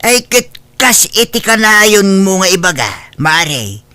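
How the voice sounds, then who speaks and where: A woman's voice: mid-range voice, very animated, slow pace, bright, forceful tone, full character voice. A woman playing a blunt older woman who mocks and grumbles at her friend in a comedic drama. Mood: exasperated. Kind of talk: comedic radio-style drama acting.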